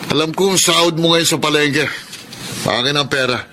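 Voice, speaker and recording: A man's voice: on the high side, normal speed, noisy background, lightly acted. An actor in a radio drama playing an abusive, bullying stepfather who snarls taunts and threats. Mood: threatening.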